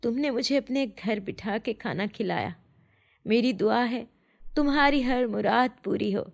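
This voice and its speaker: A woman's voice: fairly high pitch, unhurried, gravelly, voice pushed up. Woman as a grateful, pleading old beggar woman. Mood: grateful, kindly. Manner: pleading, blessing. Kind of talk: animated children's fairy tale.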